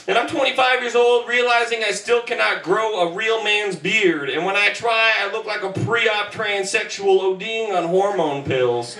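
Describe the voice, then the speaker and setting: Twenty-something guy doing slam poetry at a stand mic, bright sharp voice, picked up far off in an echoey club. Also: high voice. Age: 20s. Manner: rhythmic, punchy delivery with conversational asides. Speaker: performance poet. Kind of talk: spoken word performance with comic asides. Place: small club stage with band gear behind. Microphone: stand mic, filmed from the back of the room. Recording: roomy distant live club recording.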